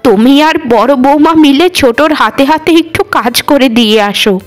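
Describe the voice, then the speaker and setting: A woman's voice: high-pitched, normal speed, natural voice. A woman voicing an elderly cartoon mother-in-law, weary and scolding, with a lamenting, nagging way of talking. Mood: scolding, exasperated. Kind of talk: Bengali cartoon voice acting.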